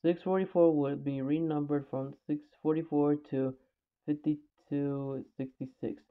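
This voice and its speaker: A man's voice: fairly high pitch, steady tone, unhurried. A man reading scripted documentary narration, occasionally stumbling over the script. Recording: tinny, phone-like narrow band.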